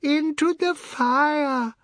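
Audiobook role: old matriarch, high-pitched, dragging her words plaintively, meek yet pleased with herself. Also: no echo, clean studio sound. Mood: spluttering amusement. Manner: drawn-out, plaintive delivery. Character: slow, groaning, sighing old matriarch, meek and self-satisfied.

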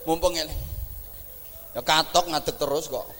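A man with an East Javanese accent, giving a humorous religious sermon in an animated, teasing way. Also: a little high, measured pace, noisy background. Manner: joking, animated, teasing the audience. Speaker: preacher. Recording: echoey hall.

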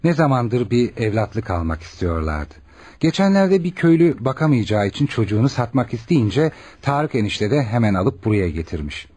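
A man's voice: medium pitch, very animated, moderate pace, darkish tone, husky. An actor playing a kindly, reassuring male doctor in a radio drama, friendly and explanatory.

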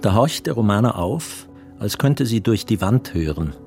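Radio drama narrator: composed literary storyteller, lowish voice with very varied intonation, carefully phrased. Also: male voice, lively intonation, a little slow, gravelly, darkish tone, faint music behind. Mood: descriptive.

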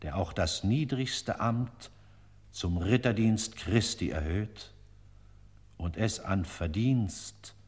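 An actor playing a solemn eulogist in a radio play, declaiming in an exalted, ceremonious way with long reverb on the voice. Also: male voice, fairly low pitch, slow pace, a little mellow, breathy edge. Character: solemn male eulogist praising a faithful old servant.

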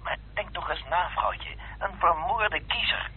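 A very husky, rough, fairly high male voice comes through tinny old radio sound, as an eager husband. He is brisk and teasing, cajoling his wife like a keen amateur sleuth. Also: exaggerated voice. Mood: eager, coaxing. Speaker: actor in a radio play. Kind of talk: Dutch radio drama acting. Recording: tinny, band-limited old radio recording.

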